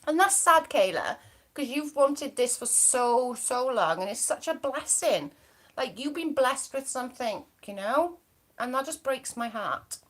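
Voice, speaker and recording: A woman's voice: sits high, lively intonation, a little slow, fairly bright tone. A woman with a Welsh accent from near the English border, hosting a livestream and chatting in a bubbly, gossipy way while reading out viewer comments. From Wales. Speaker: livestream host.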